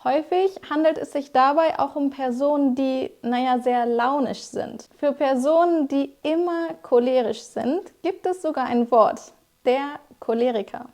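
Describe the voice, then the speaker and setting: A young woman with a high-pitched voice gives a scripted language lesson. She explains each word clearly and speaks directly to her viewers, as a teacher would. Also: a little slow, a little mellow. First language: German. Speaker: German teacher.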